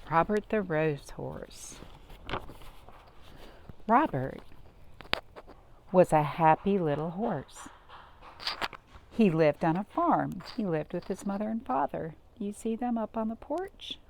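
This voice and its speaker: A woman's voice: lowish voice, animated, slow, creaky, pauses often, noisy background. A woman hosting a children's storytime, chatting and reading aloud, often putting simple questions to her young listeners. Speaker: library storytime host and reader. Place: at home, in a cottage.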